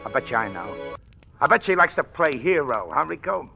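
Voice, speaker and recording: A man's voice: fairly high pitch, big pitch swings, a little fast, creaky, sharp tone, exaggerated voice. An actor playing a sardonic hired killer who needles his captive in slangy 1940s radio gangster style. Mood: sardonic taunting. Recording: old-time radio recording, faint music.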